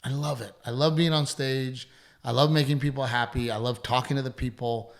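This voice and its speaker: Gravelly-voiced middle-aged man, rambling chat. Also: medium pitch, steady tone, a little fast. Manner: rambling, profane, self-deprecating. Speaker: comedian and podcast host. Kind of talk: casual chat.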